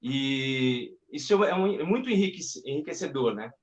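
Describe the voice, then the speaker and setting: A man's voice: medium pitch, expressive, normal speed, lo-fi sound. A man, a guest actor and puppeteer in a live video-call interview, talking in a rambling way with many restarts. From Paraná, southern Brazil. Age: 30s.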